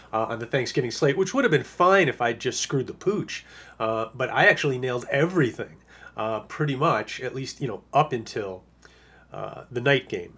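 Hoarse man rambling about fantasy picks. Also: medium pitch, fairly bright tone. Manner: rambling, lots of uh and you know. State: hoarse, losing his voice. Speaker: fantasy football analyst. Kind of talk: casual podcast monologue.

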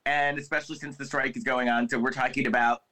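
A man's voice: medium pitch, level tone, quick pace, sharp tone. A man co-hosting a podcast in casual chat, rambling with many restarts and fillers. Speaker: podcast co-host.

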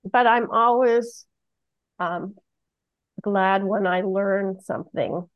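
Female discussion participant, unhurried and halting, mid pitch, dull lo-fi sound. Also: no room sound. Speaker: book club participant. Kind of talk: casual group discussion. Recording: dry, dull lo-fi audio.

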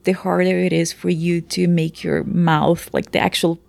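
Woman, Portuguese native, teacher type; explains patiently with "right?" tags, slight fry, dry booth sound. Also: lowish voice, little pitch movement, moderate pace, darkish tone, dry recording. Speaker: teacher, guest. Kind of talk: conversational interview.

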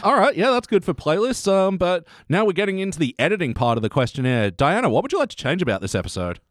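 Animated male podcast host, dry close sound. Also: sits high, fast talker, no echo. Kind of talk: casual episode review chat.

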